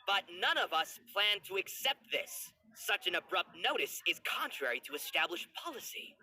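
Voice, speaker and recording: A man's voice: sits high, sing-song, normal speed, sharp tone, a little hiss or hum, full character voice, low-quality recording. A man playing a conscientious, put-upon theater manager in a video game. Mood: protesting.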